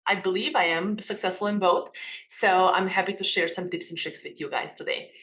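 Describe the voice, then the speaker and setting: A woman's voice: fairly low pitch, steady tone, fairly quick, fairly bright tone. A woman in her thirties with a Serbian accent, a makeup academy owner talking openly about her life as a guest in a casual interview over a video call. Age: 30s. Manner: open, earnest, restarts her phrases. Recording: echoey room.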